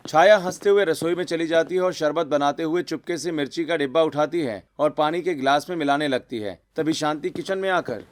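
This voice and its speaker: A man narrating a scripted family story for an animated video. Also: a little high, brisk, hardly any pauses, no room sound.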